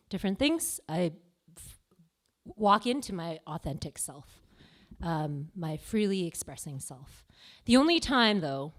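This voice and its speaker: A young Canadian-born woman performing confessional stand-up comedy at a live venue, self-deprecating and playful. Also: fairly low pitch. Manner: self-deprecating, playful, with sudden loud bursts.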